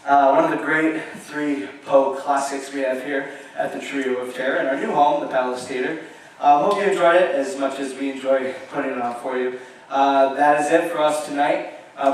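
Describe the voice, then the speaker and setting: Guy with a cold chatting casually to the audience from stage, very echoey, far from mic. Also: medium pitch. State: has a cold. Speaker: stage performer. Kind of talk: casual closing address to audience. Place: theater stage, distant camera. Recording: distant, very echoey theater, faint noise.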